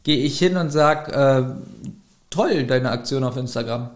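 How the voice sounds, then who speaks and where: A man's voice: mid-range voice, measured pace. A man co-hosting a podcast in casual, humorous chat, jokey and given to mock grumbling. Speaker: podcast co-host.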